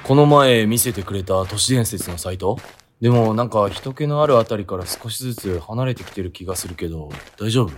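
A man's voice: on the low side, expressive, brisk, a little hiss or hum, natural voice. An actor playing a naive, doubtful young man questioning his friend casually in a comic radio drama.

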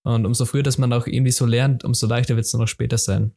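A man's voice: mid-range voice, steady tone, quick pace, creaky, dark tone, breathy edge. A young man hosting a solo podcast, talking informally in a loose, repetitive way with plenty of fillers and restarts. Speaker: podcast host. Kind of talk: casual solo podcast talk.

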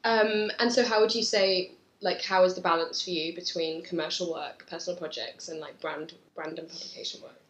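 A woman's voice: fairly low pitch, fairly even intonation, fairly quick, few pauses, a bit bright, rough recording. A woman hosting an interview, asking questions and encouraging her guest. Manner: encouraging, fills in with affirmations. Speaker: interviewer, podcast host. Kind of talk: casual interview.

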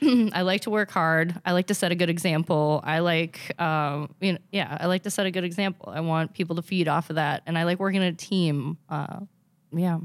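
Soft-voiced Minnesotan woman, rambling. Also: lowish voice, fairly quick, dry recording. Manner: rambling, hesitant, with many fillers and self-restarts. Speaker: car detailing business owner, guest. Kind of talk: casual interview chat.

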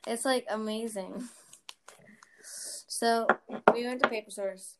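A woman's voice: a little high, fairly even intonation, slow, very noisy. A young woman hosting a casual chat podcast, talking chattily with lots of fillers and giggles. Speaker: podcast host.

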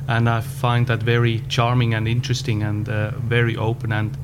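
A man of about fifty with a Swiss German accent, answering interview questions in a measured way with frequent pauses. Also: medium pitch, completely flat delivery. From Switzerland. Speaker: podcast guest, business owner. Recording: background hum.

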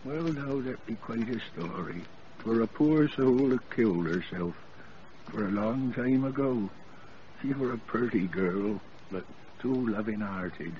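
Old West Country rustic, muffled radio. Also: male voice, fairly low pitch, expressive, measured pace, slight background noise. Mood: kindly, wistful. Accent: English West Country. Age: older. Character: kindly old rustic countryman recalling a sad tale. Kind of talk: 1940s radio drama acting.

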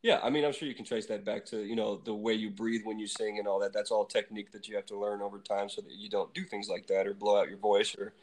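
Low-voiced young man, relaxed and rambling. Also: fast, hardly any pauses, rough recording. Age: 20s. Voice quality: low voice that sometimes cracks. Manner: relaxed, rambling, laughs often. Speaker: band singer. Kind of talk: remote interview chat.

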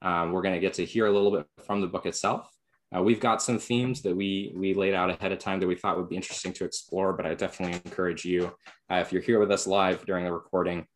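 Deep-voiced male host introducing a guest on a video call, halting, filler-heavy.

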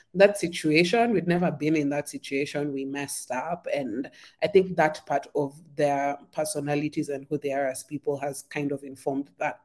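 A woman, a podcast guest telling her life story in a relaxed, rambling way, with a slight sore throat. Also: low-pitched. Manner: chatty, many "like" fillers, laughs often. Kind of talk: casual conversation.

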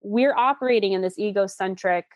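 A woman in her late twenties talks in a dry, close-sounding recording. Her pitch sits in the middle for a woman. She explains things like an informal expert, candid and enthusiastic, in loose conversational sentences. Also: brisk, no echo. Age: late 20s. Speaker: guest expert explaining relationship psychology.

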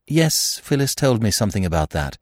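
A man's voice: lowish voice, sing-song, fairly quick, no echo, studio quality. A man reading aloud, voicing a spirited young woman who speaks firmly and earnestly. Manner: earnest, pressing questions.

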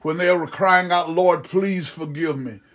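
Guy preacher praying pentecostal style, kinda high voice, keeps pleading and calling on god between phrases. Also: measured pace, a bit bright. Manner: repeatedly calls out to God mid-phrase, repetitive pleading. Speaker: preacher leading prayer. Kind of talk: fervent extemporaneous Pentecostal prayer.

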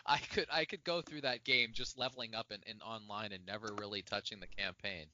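Bright-voiced man, lo-fi audio. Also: fairly low pitch, fairly even intonation, brisk. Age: 30s. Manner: easygoing, laughs often. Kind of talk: casual chat. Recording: dull lo-fi audio with faint noise.

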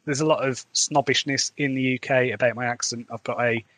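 A man's voice: medium pitch, fairly even intonation, a little fast, fairly bright tone. A man with an English Midlands accent, an author hosting his own podcast, talking casually and candidly with a rambling, chatty manner. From England. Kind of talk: casual solo podcast chat.

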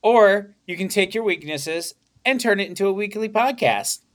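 A young man hosting a podcast, his voice sitting a little high for a man. He rambles through jokes and swears freely, laughing often as he goes. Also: expressive, moderate pace. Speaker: podcast host. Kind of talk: casual comedic podcast banter.

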